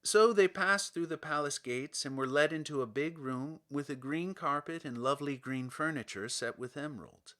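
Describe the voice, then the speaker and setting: Male audiobook reader for a classic children's tale: slightly high register, bright, forward tone. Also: normal speed, few pauses. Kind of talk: scripted storybook narration.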